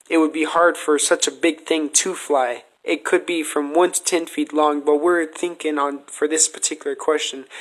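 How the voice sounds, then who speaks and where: A man's voice: on the high side, poor audio. A man hosting a casual podcast, speaking in an earnest, speculative way as he argues his case. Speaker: podcast host asking questions.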